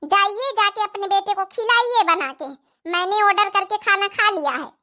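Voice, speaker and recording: Cartoon role: woman performer as haughty young bride, extremely high, bright, piercing voice, snappy and defiant. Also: normal speed. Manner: shrill, snappy, haughty back-talk. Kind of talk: Hindi dialogue in comedy cartoon.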